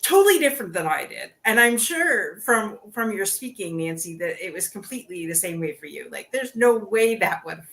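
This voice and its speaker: A woman's voice: mid-range voice, expressive, normal speed, hardly any pauses, a bit bright. A woman hosting an interview podcast, chatting casually with plenty of restarts and "you know". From Canada. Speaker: podcast host.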